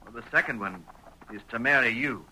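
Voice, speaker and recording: An actor playing an earnest, stubborn young sheriff bent on vengeance, with a folksy American accent, in 1940s radio western style. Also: male voice, medium pitch, fairly quick, bright, forceful tone, slightly rough. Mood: concerned tender. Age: young adult. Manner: folksy, dogged. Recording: faintly noisy old radio recording.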